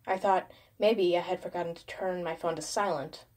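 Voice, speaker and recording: A woman's voice: lowish voice, fairly even intonation, moderate pace, darkish tone. A woman reading a first-person horror story as a dramatic scripted narration.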